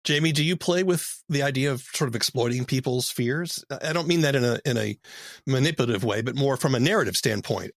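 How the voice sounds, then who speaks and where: Creaky, measured male podcast host. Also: medium pitch, dry recording, clean studio sound. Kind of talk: interview talk with narration.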